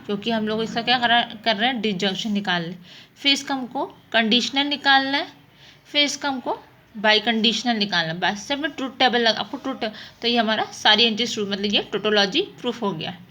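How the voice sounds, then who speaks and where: A woman's voice: a little high, a little fast, pushed and bright. A woman teaching a mathematics lesson, explaining step by step and often answering her own questions. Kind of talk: informal spoken lesson, working through problems.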